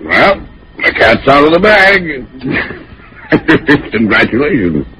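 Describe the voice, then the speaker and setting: An actor playing a jovial, blustery company boss in an old-time radio drama, hearty and fond of repeating himself. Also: male voice, on the high side, very animated, slow, pushed and bright, heavily acted. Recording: muffled, faintly noisy old radio recording.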